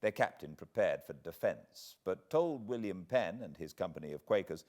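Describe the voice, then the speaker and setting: Deep, very animated British male narrator. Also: moderate pace. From Britain. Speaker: audiobook narrator. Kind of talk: literary audiobook reading.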